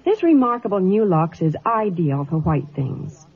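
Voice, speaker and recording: A woman's voice: fairly low pitch, very animated, normal speed, slightly rough. An American woman giving scripted 1950s radio gossip and advertising with a chatty, polished sales delivery. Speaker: Hollywood reporter, ad pitchwoman. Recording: tinny, fuzzy old radio broadcast.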